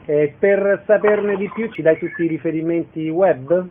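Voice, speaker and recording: A man's voice: a little high, measured pace, a little hiss or hum. A man puts questions to a guest as a radio interviewer. The sound is muffled and lo-fi.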